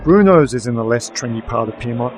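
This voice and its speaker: A man's voice: medium pitch, animated, fairly quick, soft background music, close to an everyday voice. A man playing a weary, sardonic werewolf private investigator, narrating a noir radio drama in a dry, wry manner. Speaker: narrator and lead.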